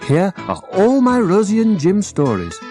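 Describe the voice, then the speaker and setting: A man's voice: sits high, sing-song, unhurried, music in the background. A British man reading children's stories aloud as the narrator of an audiobook. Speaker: author and narrator.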